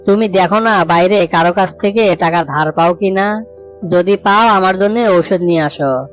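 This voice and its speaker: A woman's voice: lowish voice, moderate pace, soft background music, close to an everyday voice. A woman voicing a poor, sick father bird in a cartoon story, sorrowful and resigned. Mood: weary, helpless. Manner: sad, resigned.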